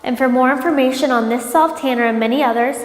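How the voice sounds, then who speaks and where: A woman's voice: high-pitched, quick pace. A woman reviewing a product in a casual vlog, sounding congested from a cold and chatting with lots of ums. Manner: chatty, many ums, upbeat. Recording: echoey room with long reverb.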